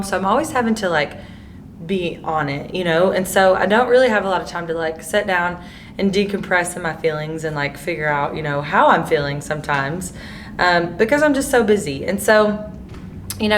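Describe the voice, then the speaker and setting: A hoarse woman host who is losing her voice, talking candidly in a rambling way with many fillers. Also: on the low side, slight background noise. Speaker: podcast host. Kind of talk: casual monologue.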